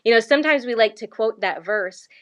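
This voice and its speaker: A woman from Canada, a missionary guest, telling her story in an earnest, lively way and laughing often. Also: on the high side, fairly quick. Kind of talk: conversational faith interview.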